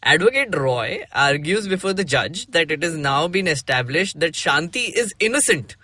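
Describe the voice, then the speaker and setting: A man's voice: on the high side, very animated, moderate pace, sharp tone. A man with an Indian accent hosting a casual podcast, reading a comic theatrically and breaking off for playful asides. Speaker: podcast host.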